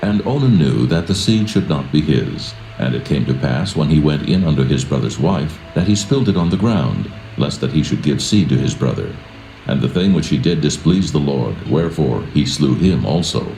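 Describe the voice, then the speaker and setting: A man with an extremely deep, dark voice and a gravelly creak narrates the Bible. His delivery is solemn, measured and reverent, in a roomy echo. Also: lively intonation. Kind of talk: solemn scripted scripture narration. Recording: roomy echo with music behind.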